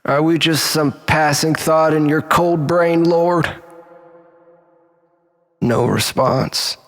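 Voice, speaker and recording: A man with a slightly high, creaky voice delivers a melodramatic, rambling storytelling monologue. He takes his time, sounding husky and mock-philosophical. Long reverb trails behind his words. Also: fairly even intonation, slow, a bit bright, soft background music. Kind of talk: dramatic comic monologue storytelling. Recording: long reverberant hall echo.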